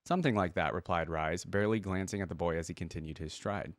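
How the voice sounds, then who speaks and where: A man's voice: low-pitched, fairly even intonation, quick pace, no echo. A man reading a fantasy story aloud expressively and adding casual remarks as a reaction host.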